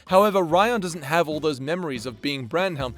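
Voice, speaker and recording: A man's voice: a little high, lively intonation, brisk, a bit bright. A man giving casual, off-the-cuff commentary, thinking aloud and second-guessing himself before landing on blunt verdicts. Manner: mild swearing. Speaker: audio creator ranking his fictional characters in matchups.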